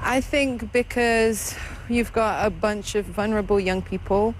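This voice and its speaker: A woman's voice: mid-range voice, fairly even intonation, unhurried, noisy background. A woman who founded an organization speaks in an interview, making her points emphatically and with growing conviction. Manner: earnest, emphatic, rising with conviction.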